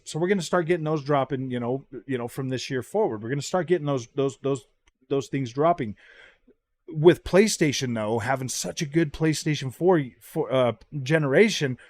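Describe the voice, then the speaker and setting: A man with a slightly high voice talks like a gaming YouTuber sharing his opinions. His delivery is casual and slangy, circling back to repeat and restart phrases. The sound is very dry, with no room echo. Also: darkish tone.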